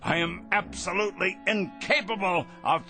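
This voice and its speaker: An actor playing a cold, self-righteous crank obsessed with punishing evil in a radio drama, pompous and sneering in formal, precise diction. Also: male voice, high-pitched, normal speed, bright, forceful tone, faint music behind. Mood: fanatical, dismissive.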